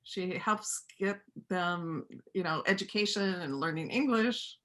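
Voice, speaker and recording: Bright-voiced, gentle widowed farm woman, acted by a woman. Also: on the low side, unhurried. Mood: warm, modest. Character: Iris, kind widowed farm-animal worker and painter. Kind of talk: stage reader's theater dialogue.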